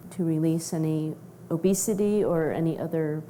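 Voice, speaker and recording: A woman guest on a studio talk show, explaining her health practice in a steady, informative way. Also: deep voice, moderate pace, slight background noise. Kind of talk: studio interview.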